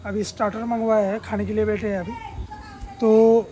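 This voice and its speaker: A man's voice: sits high, little pitch movement, normal speed, dark tone, muffled recording. A young man in his twenties chatting casually to viewers on a road-trip vlog, filming himself at arm's length and explaining prices and places. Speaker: travel vlogger. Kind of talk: casual travel vlog narration. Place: outdoors at markets and beach, also in a car and a room. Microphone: phone camera at arm's length. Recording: noisy outdoor phone audio.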